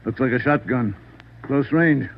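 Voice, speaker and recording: A man's voice: medium pitch, measured pace, lightly acted. Actor as terse crime-lab man, muffled radio. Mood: grim. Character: sombre male crime-lab police investigator. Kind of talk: 1940s radio acting. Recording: muffled noisy 1940s radio recording.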